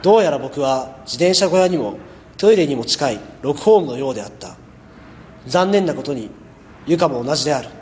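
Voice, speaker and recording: Guy as a laid-back high school boy in a radio drama, highish voice, dry sarcasm, echoey. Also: lively intonation, brisk, a little mellow, a little hiss or hum, lightly acted. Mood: wry, reflective. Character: laid-back, wry teenage high school boy narrating his life. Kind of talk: amateur radio drama narration and acting. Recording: echoey, long reverb.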